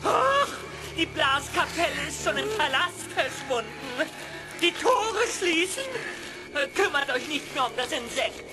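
High, pompous, theatrical school director. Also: female voice, big pitch swings, measured pace, music in the background, rough recording. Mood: impatient, harsh. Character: pompous, fawning, callous school director and Santa's assistant. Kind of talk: audio drama acting.

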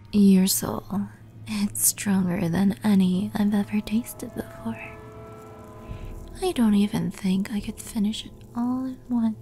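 Woman playing a slow, whispering seductive demoness. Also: medium pitch, mellow, slight background noise. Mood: intrigued, possessive. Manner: teasing, predatory, breathy whispering. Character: seductive, menacing female soul-eating demon, toying with prey. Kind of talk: whispered ASMR roleplay.

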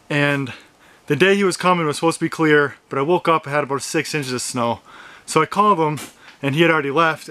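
A man's voice: fairly high pitch, pushed and bright, dry recording. A man in his thirties talking easily to a handheld camera at arm's length, thinking aloud inside a greenhouse on a snowy farm. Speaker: homesteading vlogger. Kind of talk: casual vlog talk to camera.

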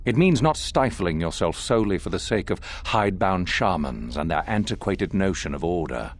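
Deep-voiced, commanding Sith master. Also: male voice, very animated, moderate pace. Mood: stern exhortation. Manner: theatrical, grandiose, condescending oratory. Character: commanding older male Sith master, sinister, solemn and goading. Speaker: academy master addressing recruits. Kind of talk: dramatic scripted video game acting.

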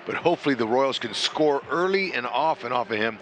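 A man's voice: mid-range voice, brisk. A man giving baseball color commentary in a folksy way, often stopping and restarting his sentences. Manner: folksy, animated, with repeated restarts.

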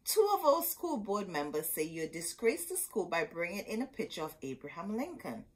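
A sympathetic storybook schoolteacher, put on by a woman reader. She explains gravely and with resignation, in a slightly low, husky woman's voice. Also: big pitch swings, moderate pace. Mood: regretful.

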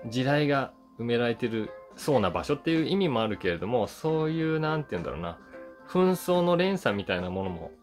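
A man in his forties chatting casually through a movie review in an animated, conversational storytelling way. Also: mid-range voice. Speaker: film reviewer, voice actor. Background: soft guitar music.

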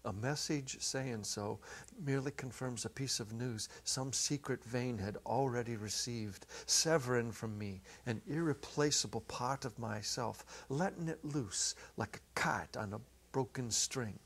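Creaky-voiced man reading a story. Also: mid-range voice, measured pace, fairly bright tone. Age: middle-aged. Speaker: narrator, dramatic reader. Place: small indoor set. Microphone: lapel.

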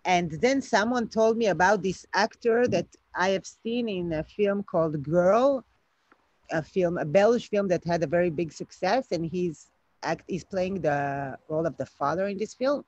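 A woman's voice: lowish voice, normal speed, a little mellow, dry recording. A woman director, speaking hesitantly and thoughtfully over a video call from outdoors at night. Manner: hesitant, thoughtful, many fillers. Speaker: film director. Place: outdoors at night, home garden. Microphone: laptop webcam video call. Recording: dull lo-fi video call audio.

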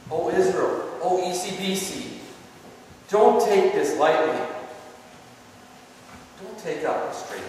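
A man's voice: on the high side, a little hiss or hum. A man preaching a sermon with an earnest, repeating cadence. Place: church sanctuary. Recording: echoey hall, voice from far off.

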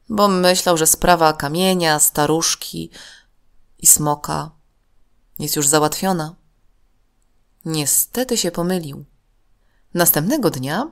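A woman narrating a children's story from a script in an expressive, clearly enunciated way. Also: sits low.

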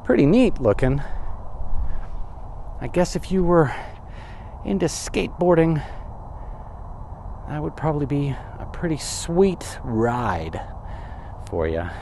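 Lively chatty man touring desert ruins. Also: a little high, slow pace, creaky, pauses often, slight background noise. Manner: chatty, wry asides, self-questioning. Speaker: travel vlogger. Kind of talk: casual walking tour narration. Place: outdoors in open desert. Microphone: camera or phone mic, handheld.

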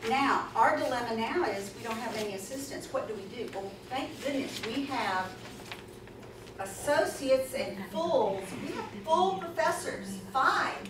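A woman's voice: high-pitched, unhurried. A woman presenting at a conference, talking in an emphatic, chatty way and taking questions from the audience. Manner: animated, emphatic, chatty with asides. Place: conference room, audience seated. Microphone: far camera in the audience. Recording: echoey room from far off, noisy.

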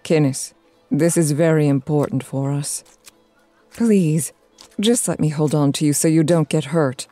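A woman's voice: low voice, a little slow, warm tone, no room sound, soft background music. A woman playing an anxious, protective mother in an audio drama, fussing and pleading.

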